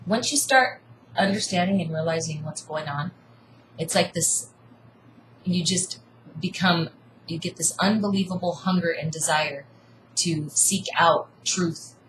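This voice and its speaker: A woman with a slightly low voice argues earnestly and presses her point like a sermon. The room echoes, and a faint hiss sits underneath. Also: measured pace, fairly bright tone. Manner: earnest, insistent, preachy about seeking truth. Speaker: co-host debating. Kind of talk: casual argument.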